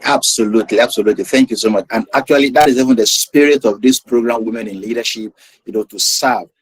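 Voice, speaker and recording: A man's voice: mid-range voice, fast, a little hiss or hum. A man hosting a video call, answering the guest with repeated thanks and affirmations. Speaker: host, moderator.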